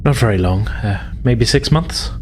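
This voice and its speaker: A man voicing a polite, wistful woman officer who survived a crash, speaking haltingly in a tabletop roleplay. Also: on the low side, lively intonation, darkish tone, slight background noise, voice pushed up. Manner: hesitant, halting.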